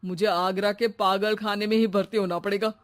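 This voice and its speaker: A woman's voice: medium pitch, fairly even intonation, moderate pace, lightly acted. A woman voicing an exasperated husband in a cartoon, fed up and dramatically pleading. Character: exasperated husband, employer of a maddening housemaid. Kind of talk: Hindi cartoon voice acting.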